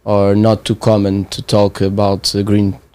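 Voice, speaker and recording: Hesitant young Portuguese man, flat voice. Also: fairly low pitch. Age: 20s. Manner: halting, searching for words with many fillers. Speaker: training participant. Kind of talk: casual conversation.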